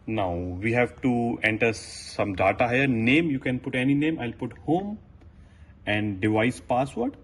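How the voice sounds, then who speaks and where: A man presenting a step-by-step how-to demonstration as a technician, with plain, halting instructional phrasing. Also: mid-range voice, lively intonation, husky.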